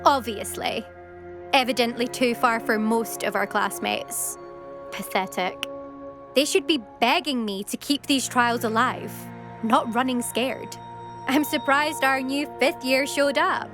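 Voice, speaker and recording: A woman playing a haughty, competitive teenage schoolgirl in a video game, brisk and needling as she talks. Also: fairly high pitch. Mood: scornful. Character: cocky, competitive teenage schoolgirl broom racer, impatient and proud. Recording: music behind the voice.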